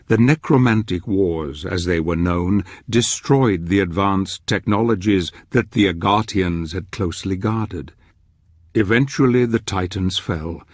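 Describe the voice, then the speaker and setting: An actor playing a solemn chronicler in a dark fantasy game, narrating in a grave, measured, theatrical way. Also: male voice, sits low, dry recording, clean studio sound.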